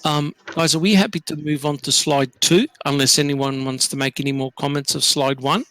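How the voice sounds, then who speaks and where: Brief: Australian male host, bright, close dry sound, leads with questions. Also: fairly high pitch, steady tone, normal speed, no room sound. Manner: leads with questions, many fillers. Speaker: podcast host. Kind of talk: casual group discussion.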